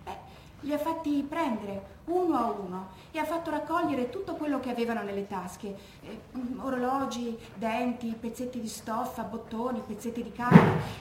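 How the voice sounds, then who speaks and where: High female voice actress, composed bereaved daughter testifying on stage; measured, careful, roomy sound with noise behind. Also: darkish tone, natural voice. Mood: precise, sombre. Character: educated daughter recalling her father's wartime death, composed, grieving. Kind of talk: theatrical testimony monologue. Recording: echoey room with background noise.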